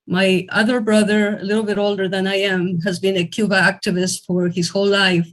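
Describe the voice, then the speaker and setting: This is a woman from Ecuador hosting an online fundraiser and sharing a personal story. She speaks earnestly, with a middle pitch and a few restarts, into a video call. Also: level tone, fairly quick. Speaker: event host.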